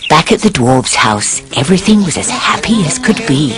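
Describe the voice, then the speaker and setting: A man's voice: on the high side, very animated, normal speed, over music. A man as a cheerful storybook narrator, reading scripted fairy-tale narration for a cartoon. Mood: bright storytelling.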